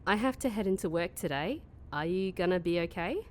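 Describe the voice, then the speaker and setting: A woman playing a weary, sarcastic young office worker in a mockumentary audio comedy, speaking in a deadpan way. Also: on the low side, a little fast, a little hiss or hum, natural voice. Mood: matter-of-fact. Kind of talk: mockumentary comedy narration and dialogue.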